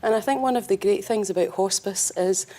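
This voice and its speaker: A woman guest who runs a hospice explains its work in a calm, measured voice. She speaks articulately and chooses her words with care. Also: mid-range voice. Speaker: hospice chief executive guest. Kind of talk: interview answers.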